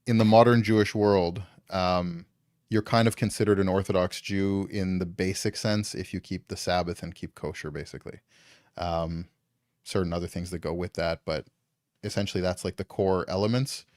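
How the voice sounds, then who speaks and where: Low-voiced man, rambling and casual. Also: a little mellow, dry recording, studio quality. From Canada. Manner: loose and rambling, full of ums and likes. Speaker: guest. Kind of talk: casual conversation.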